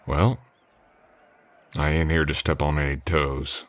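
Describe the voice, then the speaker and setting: A man playing a low-voiced, polite drifter cowboy. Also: little pitch movement, slow pace, fairly bright tone, no echo, heavily acted. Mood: thoughtful, curious. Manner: folksy, courteous, hesitant, drawling old west speech. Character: polite, easygoing drifter cowboy hired as ranch hand. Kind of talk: ASMR roleplay acting, addressing the listener.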